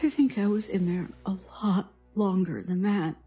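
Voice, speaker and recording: An actress playing a frightened woman haltingly recounting a childhood horror in an audio horror drama, sounding haunted. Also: medium pitch, mellow, slightly rough, no room sound, close to an everyday voice. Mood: uneasy, haunted. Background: music.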